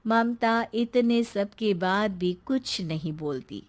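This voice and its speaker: A woman's voice: medium pitch, slow. A woman narrating a scripted family moral story as its storyteller.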